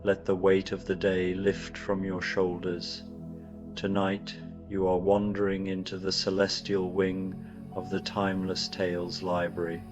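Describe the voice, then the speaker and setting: A man hosting a sleep story, guiding listeners to relax in a soft, soothing manner. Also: sits low, monotone, unhurried, lo-fi sound, over music. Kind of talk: calm guided relaxation.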